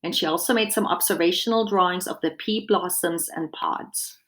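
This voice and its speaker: A woman's voice: on the low side, moderate pace, fairly bright tone, slight background noise. A woman reading a children's book aloud expressively, with simple explanatory asides as if to young children.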